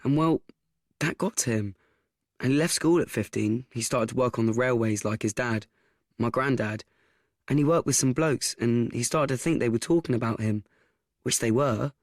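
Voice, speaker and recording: Radio play narrator: male actor as young nephew, frank, self-mocking, halting confessional delivery, middle register, very dry studio sound. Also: mid-range voice, no room sound, natural voice. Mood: matter-of-fact. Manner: halting, repeats himself, conversational asides. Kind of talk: confiding first-person radio play monologue.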